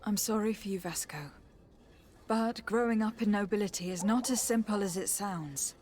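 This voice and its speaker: A woman's voice: medium pitch, normal speed, a little mellow, close to an everyday voice. An actress playing a poised, courteous young noblewoman diplomat in a video game, speaking formally and reassuringly. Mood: sympathetic. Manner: polite, composed, formal. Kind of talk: scripted video game dialogue.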